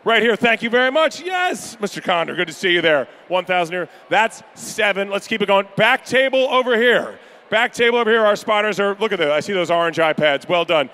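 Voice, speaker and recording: A man calling pledges at a charity gala in a banquet room, energetic and upbeat with rapid-fire banter to the crowd. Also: high voice. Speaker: charity auctioneer. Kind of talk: live fundraising pledge calling.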